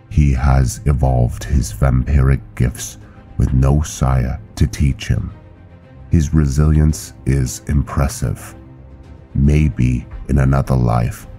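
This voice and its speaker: A man acts out a cold, honor-bound vampire officer from a fantasy game. The voice is extremely low and dark, and the delivery is slow, formal and solemn, like a judge passing sentence. Music plays behind it. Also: full character voice. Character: stern, honor-bound male vampire knight officer, coldly judging. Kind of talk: scripted roleplay narration and game dialogue.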